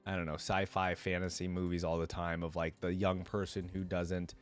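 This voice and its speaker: A man's voice: low voice, level tone, moderate pace, clean tone, faint music behind. A man giving casual, rambling reaction commentary as a video host, often trailing off loosely.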